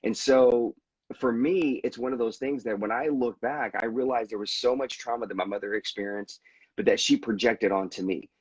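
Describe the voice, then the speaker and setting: A man's voice: mid-range voice, moderate pace, husky, dry recording, muffled recording. A veteran guest speaking candidly and reflectively about his own experiences, often restarting his sentences as he talks. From United States. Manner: earnest and reflective, with frequent restarts. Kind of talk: candid conversational storytelling.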